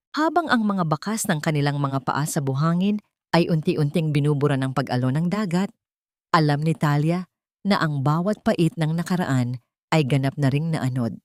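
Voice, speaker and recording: A woman reads a scripted dramatic story as an audiobook narrator, in an earnest, sentimental and measured way. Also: deep voice, a little mellow, dry recording, clean studio sound.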